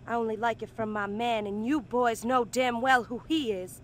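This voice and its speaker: An actress as sassy young barmaid. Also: female voice, fairly high pitch, steady tone, moderate pace, close to an everyday voice. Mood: annoyed, defiant. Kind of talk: video game cutscene acting.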